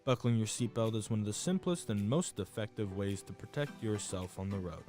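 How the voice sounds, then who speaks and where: A man's voice: on the low side, fairly quick, warm tone, faint music behind. A man reading a scripted safety announcement in the style of a public service spot.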